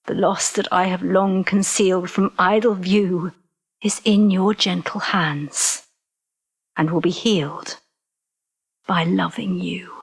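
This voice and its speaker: A woman's voice: on the low side, steady tone, slow pace, gravelly, breathy edge, heavily acted. A woman playing a devoted, playful, possessive British lover in an audio drama, speaking tenderly. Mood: tender, earnest.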